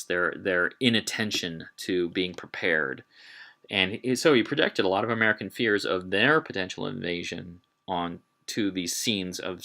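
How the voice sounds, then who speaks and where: A man giving an informal history lecture in a halting way, with emphatic, dramatic delivery when quoting. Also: low voice, creaky, bright, forceful tone. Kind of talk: informal illustrated public lecture. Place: talk given to a live group.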